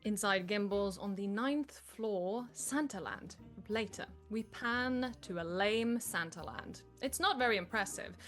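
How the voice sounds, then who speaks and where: A woman's voice: medium pitch, measured pace, hardly any pauses, a bit bright, soft background music. A woman reading stage directions as the narrator of a live comedy table read.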